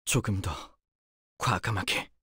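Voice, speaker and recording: An actor playing a timid, polite young male fighter in a video game, hesitant and soft-spoken but capable of cold focus. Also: low voice, steady tone, slow pace, slightly rough, no room sound, clean studio sound, full character voice. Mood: earnest, subdued. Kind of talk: Korean video game character voice lines.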